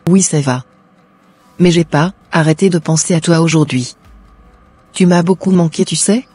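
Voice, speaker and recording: A gentle pious wife. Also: male voice, fairly high pitch, moderate pace, husky, no echo, faint music behind. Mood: worried. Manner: soft, pleading, prayerful.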